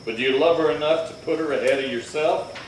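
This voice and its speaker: A steady radio-Western marshal played by a man speaks with measured gravity. He sounds far from the mic in a strongly echoing hall, reasoning firmly and persuasively. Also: on the high side, natural voice. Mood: grave, persuading. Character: steady, grave Old West lawman marshal. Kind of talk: Western radio drama acting. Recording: echoey hall, distant mic, faint noise.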